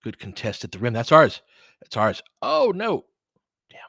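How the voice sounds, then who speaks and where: A man's voice: medium pitch, sing-song, a little slow, a bit bright, no echo. Male fan commentator for live basketball, colloquial and candid, mid-range voice, very dry close-miked sound. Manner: candid, colloquial partisan fan reactions with swearing. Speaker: fan play-by-play commentator and host. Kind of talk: live basketball play-by-play commentary with casual analysis.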